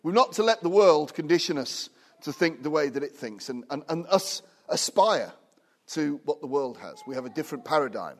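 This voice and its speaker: Animated man preaching in his fifties. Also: moderate pace. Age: 50s. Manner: animated, rising loud and high when preaching; hushed, soft prayer. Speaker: guest preacher. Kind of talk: sermon. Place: small church. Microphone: own recorder, no microphone.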